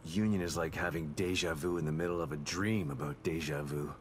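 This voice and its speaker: A man playing a gruff, weary, determined ex-detective in a survival horror game, speaking tersely and sardonically. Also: rumbling low, fairly even intonation, fairly quick, a little hiss or hum. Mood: wary.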